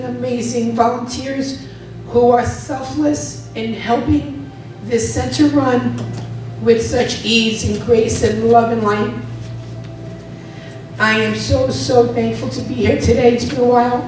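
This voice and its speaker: A hoarse woman giving an earnest, grateful spoken prayer during a church service. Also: on the high side, a little mellow, a little hiss or hum. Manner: earnest, effusive gratitude. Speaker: church member leading a prayer. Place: church sanctuary. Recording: echoey room, distant mic.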